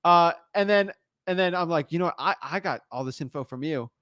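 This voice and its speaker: An energetic young man with a high, bright voice, talking fast and animated in a casual conversation. The sound is close and dry, with no room around it. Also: no room sound. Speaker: podcast host. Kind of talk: casual chat.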